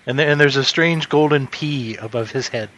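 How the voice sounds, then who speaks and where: A man's voice: mid-range voice, normal speed, a bit bright, muffled recording. A man running a tabletop horror game as game master, describing scenes with wry amusement.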